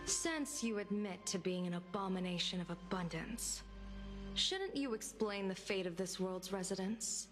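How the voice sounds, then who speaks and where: A woman's voice: mid-range voice, unhurried, over music, lightly acted. A woman voicing a solemn, stern diviner in a video game, with formal, archaic wording. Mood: stern, threatening.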